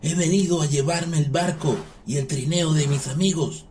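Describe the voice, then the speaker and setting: A man's voice: a little high, fairly even intonation, normal speed, a little hiss or hum, close to an everyday voice. A man voicing a bold, crafty little Arctic hunter in a dubbed children's cartoon. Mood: persuasive, crafty. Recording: distant voice under loud music.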